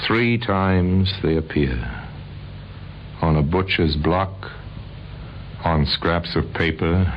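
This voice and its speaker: A man narrating an old-time radio crime drama in a grave, deliberate, dramatic manner with a sardonic edge. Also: deep voice. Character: grave, sardonic male narrator of murder cases. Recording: dull, noisy old radio recording.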